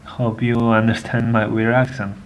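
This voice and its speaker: A man's voice: mid-range voice, level tone, normal speed. Argentine-accented man on a noisy voicemail. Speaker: listener leaving a voicemail. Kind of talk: informal voicemail message. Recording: noisy voicemail recording with ambience.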